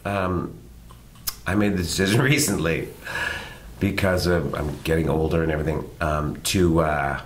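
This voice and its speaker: Man, middle-aged, from canada, very low voice, easygoing and self-mocking, interview guest. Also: moderate pace, slight background noise. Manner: relaxed, self-deprecating, rambling. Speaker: guest actor. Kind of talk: casual interview chat.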